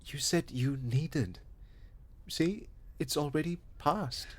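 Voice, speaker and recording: Reserved, husky-voiced departing lover. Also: male voice, a little high, takes it slowly. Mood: wistful, detached. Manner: hesitant, restrained, quiet. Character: reserved musician gently ending a long love affair. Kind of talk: audio drama acting.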